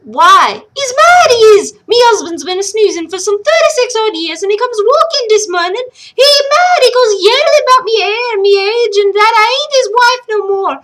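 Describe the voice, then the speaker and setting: A woman doing a shrill ranting old wife. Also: sing-song, moderate pace, hardly any pauses, fairly bright tone, heavily acted. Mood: frantic.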